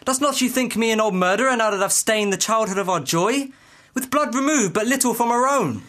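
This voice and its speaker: A man's voice: very high-pitched, a little fast, a bit bright. A man playing an anguished, devoted young banished lover, earnest and declamatory, in a Shakespeare audio drama.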